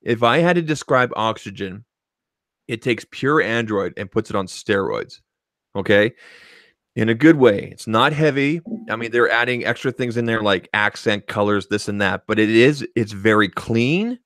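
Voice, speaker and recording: A man's voice: lowish voice, moderate pace, fairly bright tone, no room sound. A man co-hosting a tech podcast in casual chat, emphatic and opinionated, often tacking on "okay" as he makes his points into a studio microphone.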